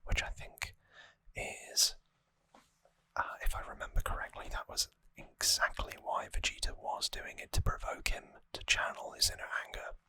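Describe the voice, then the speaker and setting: An ASMR host whispering an informal recap close to the microphone, in short phrases with hesitant pauses. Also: pushed and bright, no echo.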